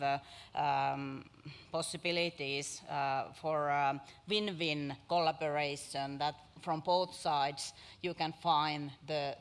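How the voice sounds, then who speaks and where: A woman from Finland speaks on a conference panel in a flat, even tone. She hesitates often and repeats words as she searches for phrasing. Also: sits low. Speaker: university vice president, panelist. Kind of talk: panel answer.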